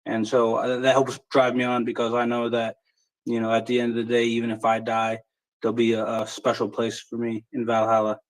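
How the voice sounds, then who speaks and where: Flat-voiced military veteran man. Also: mid-range voice, low-quality recording. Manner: halting, plainspoken, with casual swearing. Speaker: military veteran fighting in Ukraine. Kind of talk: personal account in a live audio chat.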